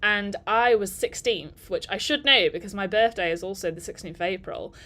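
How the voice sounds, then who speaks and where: A woman's voice: mid-range voice, normal speed, fairly bright tone. A woman in her twenties, chatting and telling stories on a live work stream, rambling and laughing between thoughts. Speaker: digital marketer vlogger. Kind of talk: casual storytelling during a live work stream.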